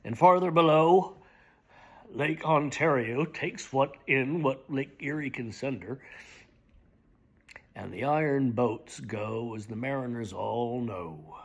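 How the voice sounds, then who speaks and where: Middle-aged man, dramatic reader, husky voice, very slow, theatrical hushed delivery. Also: mid-range voice. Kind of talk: dramatic spoken reading of song lyrics.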